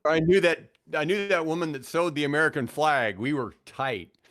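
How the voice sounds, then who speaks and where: A man's voice: on the high side, normal speed, fairly bright tone. A man in his sixties telling casual anecdotes in a jokey, self-mocking way, slipping into acted-out bits of dialogue. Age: 60s. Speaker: podcast guest, retired radio host.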